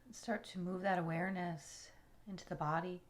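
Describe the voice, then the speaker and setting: A woman leading a guided relaxation meditation in a soothing, unhurried way, with soft, breathy phrase endings. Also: on the low side, fairly even intonation. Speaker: yoga nidra meditation guide.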